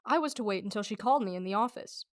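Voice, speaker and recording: A woman's voice: mid-range voice, racing, lightly acted. A woman playing an earnest husband in a radio drama, with a stagey delivery. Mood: earnest, defensive. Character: earnest, defensive husband, a businessman. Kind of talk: amateur radio drama acting.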